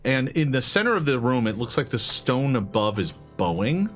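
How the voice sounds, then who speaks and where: A man acting as game master, improvising narration for a tabletop game in a halting, think-aloud way. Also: mid-range voice, sing-song, a bit bright, faint music behind.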